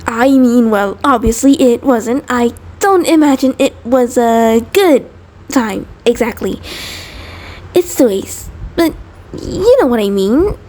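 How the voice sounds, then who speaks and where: A woman's voice: a little high, expressive, slow, mellow. A woman voicing an anxious, devoted centipede creature partner in an intimate audio roleplay, nervous and hesitant, stammering and rambling. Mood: shy, affectionate, nervous. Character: anxious, devoted female mutant centipede partner, sweet and neurotic.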